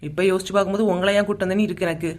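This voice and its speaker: A woman's voice: mid-range voice, steady tone, very fast, a little mellow, natural voice. A woman playing a teasing, bossy young village woman in a comedy cartoon, with a Kanyakumari Tamil accent. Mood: irritated. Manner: mocking, bossy. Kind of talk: comedy cartoon dialogue in local slang.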